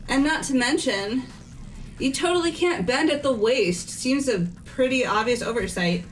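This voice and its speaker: A woman's voice: high voice, expressive, normal speed, faint music behind, poor audio. A woman voicing a brash, stubborn young student with a blunt, casual way of talking in a fan-dubbed game. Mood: stubborn, puzzled. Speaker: amateur voice actor in a group playthrough. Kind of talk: fan dub of a visual novel game.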